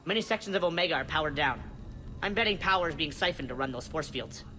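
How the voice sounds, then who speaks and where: An eager alien technician in a video game. His voice is high and sharp, with clipped, businesslike delivery. It rings with long reverb. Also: fast talker. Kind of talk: video game cutscene acting. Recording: reverberant, faint noise behind.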